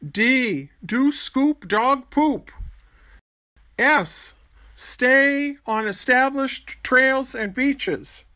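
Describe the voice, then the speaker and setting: Puppet show role: careful, helpful kid played by a woman, high bright voice, unhurried delivery. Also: lively intonation, no echo, clean studio sound, exaggerated voice. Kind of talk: scripted puppet show dialogue.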